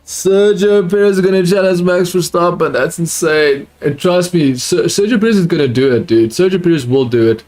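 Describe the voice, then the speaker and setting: Excitable man with near-British non-native accent. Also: high voice, moderate pace, few pauses, faint music behind. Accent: close to British, not fully. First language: not English. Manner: excitable, repeats himself, calls viewers dude. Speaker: livestream host watching a race. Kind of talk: casual live reaction commentary.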